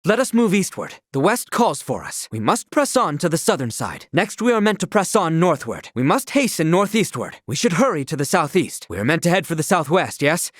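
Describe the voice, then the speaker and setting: A man's voice: fairly high pitch, very animated, fairly quick, hardly any pauses, dry recording, studio quality. A man voicing a dutiful, stern young attendant to royalty in a video game, speaking in formal, archaic phrasing. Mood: brisk, purposeful. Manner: formal, archaic, courtly diction. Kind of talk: video game character voice lines.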